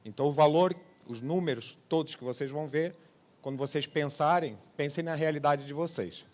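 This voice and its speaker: A man's voice: a little high, fairly quick, darkish tone. A man in his forties with a Brazilian accent tinged by Portugal, giving a conversational conference presentation and explaining things with frequent check-in tags. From Brazil (born Rio de Janeiro) and Portugal. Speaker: sales manager giving a conference talk. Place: trade congress presentation space.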